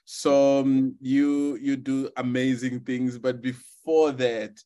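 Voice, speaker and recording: A man's voice: medium pitch, little pitch movement, slow, dark tone. A man hosting a podcast interview over a video call, friendly, with many fillers and restarts. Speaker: podcast host.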